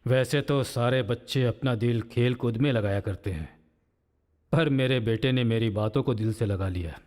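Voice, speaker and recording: A man's voice: mid-range voice, moderate pace, a little mellow, close to an everyday voice. An actor playing a jailed father who tells his story and coaxes his crying baby son in sing-song baby talk. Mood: weary.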